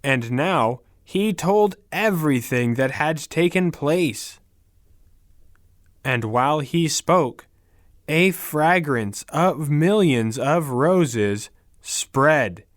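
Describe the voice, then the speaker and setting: A man with a slightly high voice reads a fairy tale extremely slowly. Each phrase is carefully enunciated and set apart by pauses, as for language learners. The sound is dry and close. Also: expressive, dry recording. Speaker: story narrator.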